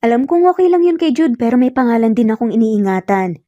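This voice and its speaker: A woman voicing an earnest, teasing young male engineer in an audio romance story, speaking gently and sincerely with bits of English mixed in. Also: a little high, animated, fast talker, no room sound, highs cut off, natural voice. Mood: calm explaining.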